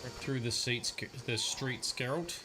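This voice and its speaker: A man's voice: mid-range voice, measured pace, noisy background. A man giving casual, rambling commentary while livestreaming a game, muttering asides and grumbling at the characters. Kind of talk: casual live gameplay commentary.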